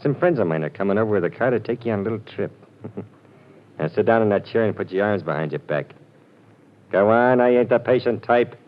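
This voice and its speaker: An actor playing a menacing, cocky gangster in a 1940s radio drama, with tough, sneering talk. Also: male voice, lowish voice, expressive, brisk, lightly acted. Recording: dull lo-fi old radio.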